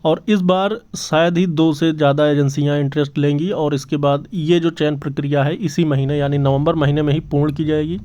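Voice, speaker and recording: A man hosting his channel's job exam news update, talking earnestly and repeating himself with many fillers. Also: on the high side. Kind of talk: casual news update to camera. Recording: slightly dull lo-fi audio.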